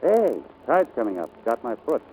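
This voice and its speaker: A man's voice: mid-range voice, sing-song, moderate pace, dark tone, a little hiss or hum, lightly acted, poor audio. An actor playing a breezy, flirtatious, self-assured male pilot in 1940s radio drama style. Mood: tender, flirtatious. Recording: old 1940s radio broadcast, music behind.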